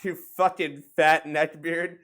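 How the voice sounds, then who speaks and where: A man's voice: a little high, flat delivery, slow pace, fairly bright tone. A man hosting a casual podcast, bantering and commenting in a sarcastic, profane way. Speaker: podcast host.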